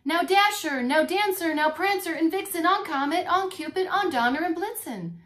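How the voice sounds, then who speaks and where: A woman storyteller voicing jolly old Santa, exclaiming and chanting loudly to his reindeer in a children's storybook. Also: very high voice, normal speed, voice pushed up. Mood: excited, commanding. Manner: exclaiming, chanting names in a rising shout.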